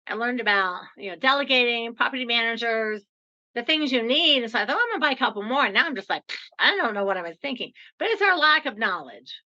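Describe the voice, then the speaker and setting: Bubbly woman in her sixties, bright tone. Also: on the high side, lively intonation, quick pace, lo-fi sound. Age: 60s. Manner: upbeat, chatty, laughs easily. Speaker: podcast host. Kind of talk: casual interview chat.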